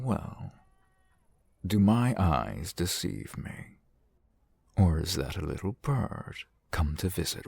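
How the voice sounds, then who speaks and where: A man playing a genial, teasing, flirtatious fantasy shopkeeper in an ASMR roleplay, unhurried and wry. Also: dark tone, no room sound, exaggerated voice. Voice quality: low, gravelly, husky. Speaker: shopkeeper character in audio roleplay.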